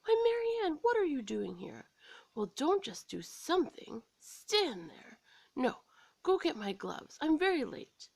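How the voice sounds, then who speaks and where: A woman voicing a fussy, flustered male rabbit in a children's storybook, giving sharp, firm orders in a hurry. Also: high-pitched, very animated, creaky, dry recording, voice pushed up, exaggerated voice. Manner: sharp, firm, hurried exclamations.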